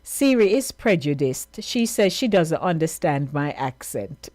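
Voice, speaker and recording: Jamaican woman host, low and dry, easygoing sign-off chat with patois. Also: sing-song, a little slow, darkish tone, dry recording. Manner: chatty, laughing, slipping into patois. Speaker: radio show host. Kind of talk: casual on-air sign-off.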